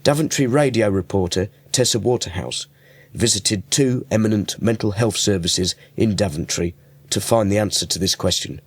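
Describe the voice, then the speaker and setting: A man's voice: on the low side, moderate pace, husky, no room sound. A man, reading scripted links and questions as a radio presenter.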